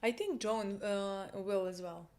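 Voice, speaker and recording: A woman's voice: medium pitch, slow, a little mellow. A young woman whose first language is Serbian, co-hosting a TV reaction and hesitantly searching for the right words. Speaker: reaction video co-host. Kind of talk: casual chat.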